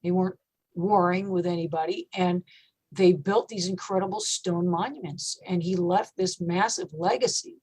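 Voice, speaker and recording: A woman metal singer with a low voice, talking casually in an interview, thoughtful and relaxed with many fillers. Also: normal speed, breathy edge, rough recording.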